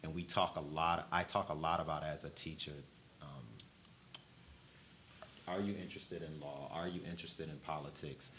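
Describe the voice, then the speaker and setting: A man who teaches reading and writing, talking on a panel in a thoughtful, measured way. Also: extremely low pitch, fairly even intonation, background noise. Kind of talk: panel discussion.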